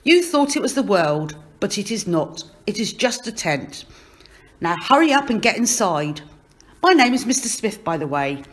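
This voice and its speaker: Woman doing a mocking, bossy man, swooping pitch. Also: sing-song, moderate pace, natural voice. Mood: brisk. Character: brisk, bossy, mocking man of the circus tent.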